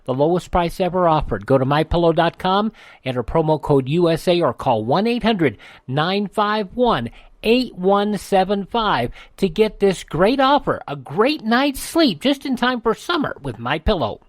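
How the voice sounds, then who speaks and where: Brief: American female radio announcer, animated intonation, close and dry studio mic, scripted promotional read. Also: lowish voice, normal speed, dry recording. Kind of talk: scripted ad read and programme introduction.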